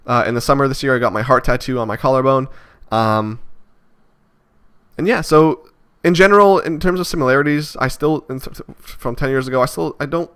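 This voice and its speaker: A man's voice: medium pitch, brisk, a bit bright, smooth. A man in his twenties musing aloud in a vlog, hesitant and rambling, with many fillers and restarts. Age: 20s. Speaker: vlogger. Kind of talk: reflective casual monologue.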